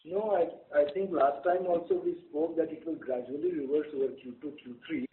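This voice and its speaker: A distant, echoey voice on a phone line, that of an executive answering questions. He is a man with a slightly high pitch. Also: steady tone, a little fast, a little hiss or hum. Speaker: company executive. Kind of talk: answering questions on an earnings call.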